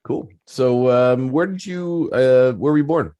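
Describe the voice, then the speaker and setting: A man's voice: mid-range voice, unhurried, warm tone. A man hosting a podcast, chatting casually with his guest and rambling with lots of fillers. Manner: conversational, rambling with many fillers. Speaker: podcast host. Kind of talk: casual interview chat.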